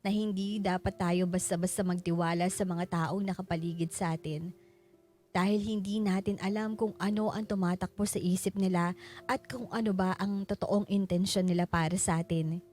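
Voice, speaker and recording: An actress playing a sorrowful widow, narrating her letter in an earnest, measured way for a radio drama. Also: mid-range voice, darkish tone, natural voice.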